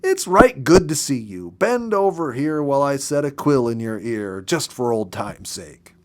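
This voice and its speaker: A man's voice: mid-range voice, very animated, measured pace. A man voicing a cheeky old male porcupine in a storybook reading, fond, teasing and folksy in manner.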